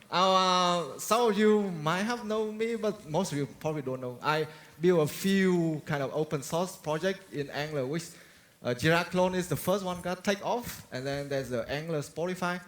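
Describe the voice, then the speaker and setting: A man from Vietnam, giving a friendly technical conference talk, halting and full of fillers and restarts. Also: a little high, lively intonation, fairly bright tone. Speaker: conference speaker. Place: large conference hall. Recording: long reverb of a large hall.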